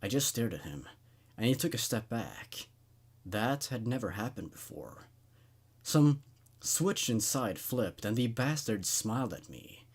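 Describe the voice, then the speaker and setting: A man's voice: mid-range voice, very animated, measured pace. A man hosting a horror story show, reading stories aloud and giving his thoughts on them. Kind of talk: dramatic story narration and laid-back commentary.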